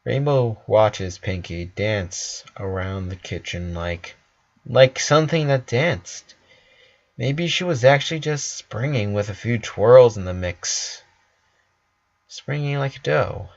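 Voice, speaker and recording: A man's voice: mid-range voice, animated, slow pace. A man narrating a story from a script, on a slightly dull recording.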